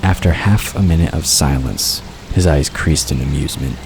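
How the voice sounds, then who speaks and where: A man's voice: very deep voice, normal speed. A man reading scripted fiction as the narrator of an audio drama. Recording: clear ambient noise under voice.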